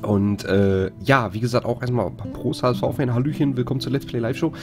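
A man's voice: mid-range voice, a little fast, music in the background. A man streaming a game live, chatting casually and rambling with many fillers while he greets viewers. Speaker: let's play streamer. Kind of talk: casual live-stream commentary over gameplay.

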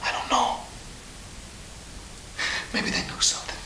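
A man acting in an audio drama as an uneasy small-town veteran, confiding in a halting, hushed way. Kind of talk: naturalistic radio drama acting. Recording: hissy, roomy, distant tape.

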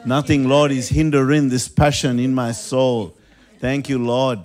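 A man's voice: mid-range voice, flat delivery, unhurried, darkish tone. A man preaching energetically to a congregation in a small church hall, urging them on with a handheld microphone. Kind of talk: sermon. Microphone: handheld mic.

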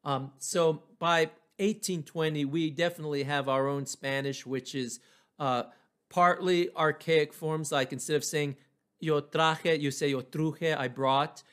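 A man's voice: fairly high pitch, steady tone, a little slow, a bit bright, clear, resonant tone. A man from New Mexico, giving an informal, explanatory history lecture. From New Mexico, United States.

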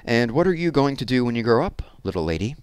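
A man's voice: mid-range voice, sing-song, fast talker, a little mellow, smooth, no room sound, voice pushed up, natural voice. A man playing a suave, patronizing male hairdresser with a theatrical manner in an audiobook story.

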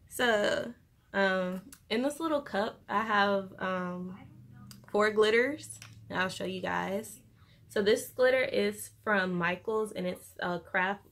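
A woman's voice: medium pitch, a bit bright. A young woman casually chatting through a makeup tutorial in an informal, slangy way, recorded in a bedroom. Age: young adult. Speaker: beauty vlogger. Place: bedroom, indoors. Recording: noticeable room noise.

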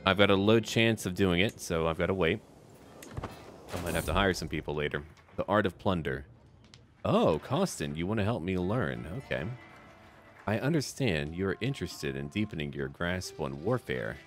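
Lively low-voiced man doing game commentary. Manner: talks to himself and to game characters in short musing remarks. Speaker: gaming video creator playing a strategy game. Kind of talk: casual let's play commentary.

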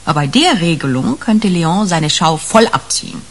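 A woman's voice: lowish voice, animated, moderate pace, breathy edge. An actress in a 1980s German radio crime play, playing a shrewd, sardonic senior policewoman who talks bluntly and colloquially. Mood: conspiratorial. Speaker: actor in a radio crime drama.

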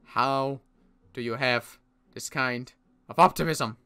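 A young man playing a protective survivor in an in-character roleplay, talking in a halting way with frequent restarts. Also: mid-range voice, bright, forceful tone, smooth. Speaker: roleplay series player.

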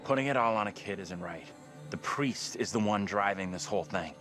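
Earnest, lowish-voiced young student. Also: male voice, expressive, fairly quick, fairly bright tone, faint music behind. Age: young adult. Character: earnest, kind young college student, uneasy. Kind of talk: video game cutscene drama.

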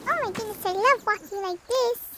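A performer voicing an excitable, playful little girl with a childlike, whiny delivery in an amateur family roleplay. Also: very high voice, moderate pace. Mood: excited. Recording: clicky, clearly noisy home recording.

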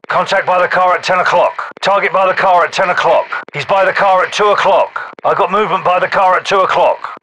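A man's voice: high voice, pushed and bright, slight background noise, rough recording. A man voicing a commanding British special forces captain in a military video game, giving clipped, urgent battle callouts with a British accent. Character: gruff British special forces captain calling out enemy positions.

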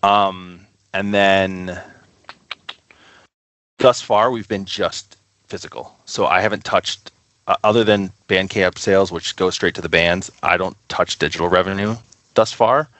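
A man's voice: low voice, fairly even intonation, unhurried, a bit bright, no room sound. A man speaking casually as a guest in an interview, talking about running a small record label.

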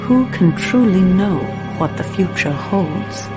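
A mystical seer narrating a game. She speaks very slowly and solemnly, with portentous weight, over clear music. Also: mid-range voice, expressive. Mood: solemn mystery.

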